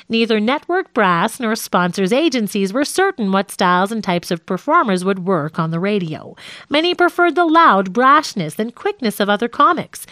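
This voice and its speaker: A woman reading a nonfiction audiobook as a scripted narration, with measured, clearly phrased delivery. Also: medium pitch, fairly bright tone, dry recording, clean studio sound.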